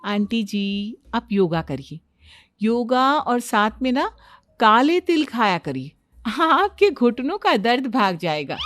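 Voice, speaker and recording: A woman's voice: on the high side, big pitch swings, slow pace. A woman voicing an eager, boastful young daughter-in-law in a cartoon family story, insistent and keen to explain everything. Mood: confident, advising.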